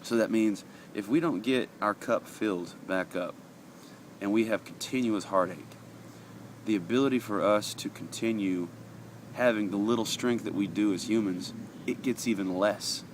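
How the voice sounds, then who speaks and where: A man's voice: lowish voice, moderate pace, noisy background. A man giving an earnest, conversational daily devotional, reading passages aloud and adding his own thoughts, sniffly from allergies. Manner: earnest, conversational, with frequent uh fillers and restarts.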